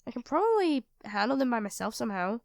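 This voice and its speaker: A woman's voice: a little high, big pitch swings, fast, creaky, smooth, no echo, studio quality. A woman playing a sweet, self-doubting young girlfriend in an intimate ASMR roleplay, speaking hesitantly and earnestly to her partner. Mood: caring, helpful. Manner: hesitant, soft-spoken, earnest, addressing a partner directly.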